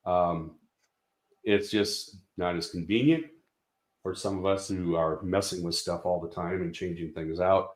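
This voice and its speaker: Low-voiced man explaining speaker tech. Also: fairly even intonation, unhurried, echoey room. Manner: explanatory, frequent fillers and restarts. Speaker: company product representative. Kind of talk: technical product walkthrough.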